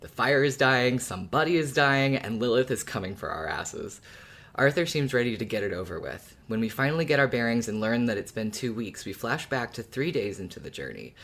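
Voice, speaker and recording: A podcast speaker in a casual fan discussion, talking in an animated, colloquial way. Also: mid-range voice, brisk. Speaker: podcast co-host or guest.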